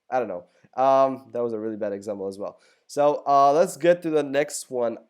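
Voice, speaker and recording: A man's voice: mid-range voice, moderate pace, clean tone. Young Arabic-native man answering fan questions. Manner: rambling, joking, loose asides. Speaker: gaming YouTuber. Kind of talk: casual Q&A video.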